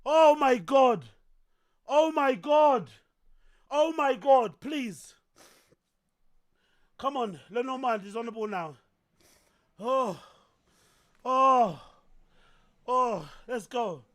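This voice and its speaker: A man reacting live to a football match, excitable and yelling and swearing at the screen. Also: very high-pitched, drawn-out words, pauses often. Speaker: streamer host.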